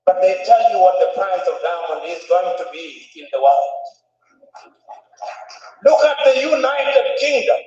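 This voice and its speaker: A man's voice: high voice, expressive, a little slow, darkish tone. A man giving a formal speech at a conference, emphatic and oratorical, repeating phrases for stress. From Tanzania. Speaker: conference speaker. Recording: echoey hall, distant dull mic.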